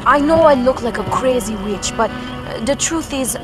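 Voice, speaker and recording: A woman voicing a mysterious, apologetic young swamp witch in a cartoon video game, earnest, halting and dramatic. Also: sits high, close to an everyday voice. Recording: loud music behind the voice.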